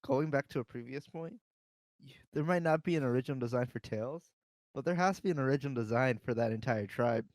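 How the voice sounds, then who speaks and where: A man in casual podcast conversation, building his ideas in a halting way with restarts. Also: medium pitch, darkish tone, dry recording. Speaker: podcast co-host. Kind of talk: casual chat.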